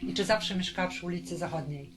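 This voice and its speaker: A woman interviewer asking gentle questions and offering short affirmations. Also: fairly low pitch, fast talker, over music. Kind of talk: oral history interview.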